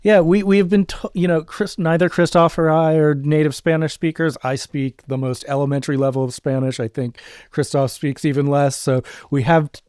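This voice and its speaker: A man's voice: fairly high pitch, flat delivery, fairly quick, darkish tone, clear, resonant tone, no echo, studio quality. A man co-hosting a podcast, talking casually and explaining things in a conversational way. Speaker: podcast co-host and audio drama creator.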